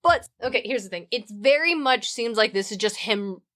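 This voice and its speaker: A woman's voice: fairly high pitch, lively intonation, normal speed, a bit bright. A woman co-hosting a podcast, explaining research in a casual, wry, opinionated and informal way. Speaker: podcast co-host.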